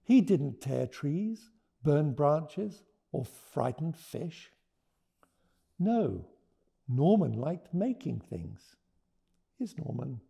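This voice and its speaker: Mellow, slow-paced man reading a storybook. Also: medium pitch, creaky, slightly rough. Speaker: children's author reading his book aloud. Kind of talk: expressive storybook read-aloud with teaching notes.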